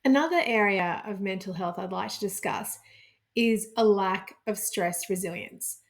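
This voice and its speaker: A woman giving an explanatory health talk, calm and clear, stressing key points as she teaches. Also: medium pitch, measured pace. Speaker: health educator.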